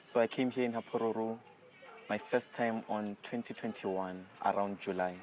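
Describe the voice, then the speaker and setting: A man's voice: lowish voice, fairly even intonation, moderate pace, a little mellow, a little hiss or hum, low-quality recording. A man in his early twenties with a South African accent, giving a personal testimony as a volunteer in an interview style. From rural South Africa. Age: early 20s. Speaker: youth retreat volunteer.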